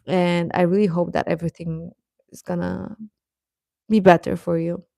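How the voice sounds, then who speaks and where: A woman hosting a casual livestream, chatting to viewers in a gentle, encouraging, affectionate way. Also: fairly low pitch, level tone, moderate pace, creaky, no room sound, clean studio sound. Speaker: livestream host.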